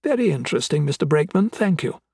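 A man voicing a composed, courteous queen in an audiobook, formal and measured. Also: on the high side, big pitch swings, creaky, a little mellow, breathy edge, no room sound, clean studio sound, full character voice. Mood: polite.

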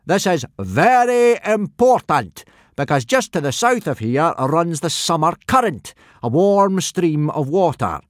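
A man voicing a loud, blustering male Viking pirate teacher who booms and bellows. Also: big pitch swings, measured pace, no echo, studio quality. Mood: booming. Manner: booming, bellowing.

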